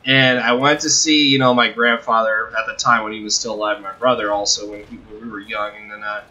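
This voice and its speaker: A young man hosting a video, telling a personal story casually in a halting, rambling, self-correcting way. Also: lowish voice, level tone, bright, forceful tone, muffled recording. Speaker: video host.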